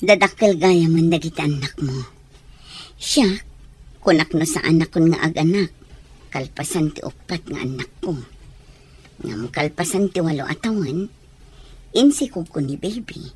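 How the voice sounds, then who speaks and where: A woman playing a resentful, defensive mother in a radio drama, with a curt, scolding manner and husky voice. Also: sits low, lively intonation, moderate pace, pauses often, darkish tone, exaggerated voice. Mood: defensive, weary. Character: irritable, resentful mother who refuses her abandoned family.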